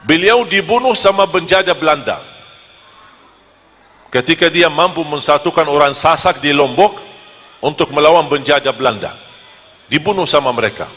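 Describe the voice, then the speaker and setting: A man from Medina gives a sermon with jokes and lively stories. His voice is bright and forceful and sits a little high for a man. It rings out with a long reverb, as in a large hall. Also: moderate pace, long pauses, breathy edge. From Medina, Saudi Arabia. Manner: joking, lively storytelling. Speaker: preacher. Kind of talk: humorous sermon to a live audience.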